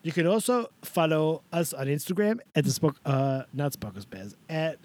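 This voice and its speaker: A man voicing a sentimental, rambling older male host character who adds a stray "a" before words, with a very lively, up-and-down pitch. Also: normal speed, no echo, natural voice. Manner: slips a stray "a" before words, broken grammar, over-the-top gushing. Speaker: podcast host. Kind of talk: comedic in-character podcast bit.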